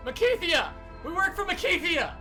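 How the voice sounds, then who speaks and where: Woman acting a shrill, panicked cyborg prisoner. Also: sing-song, normal speed, faint music behind, heavily acted. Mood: panicked, pained. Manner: frantic, shouting. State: in agony. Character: wounded, panicked cyborg prisoner begging for help. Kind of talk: audio drama acting.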